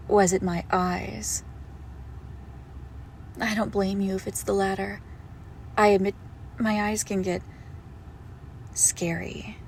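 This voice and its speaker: A monstrous girlfriend, played by a woman, speaks very slowly with a creaky edge, sweetly menacing and possessive. Her phrasing is intimate and condescending, like a horror roleplay for one listener. There is faint noise behind her. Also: medium pitch. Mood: eerily calm. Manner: condescending, darkly affectionate, drawn-out deliberate phrasing. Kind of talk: intimate horror roleplay audio, slow and hushed.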